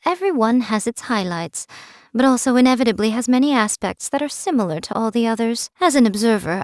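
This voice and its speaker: A woman's voice: a little high, a little mellow, no room sound, clean studio sound, natural voice. A woman voicing a playful, teasing fox spirit in a game, coy and amused as she talks. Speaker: game character. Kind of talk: game voice lines. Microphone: studio mic, dry.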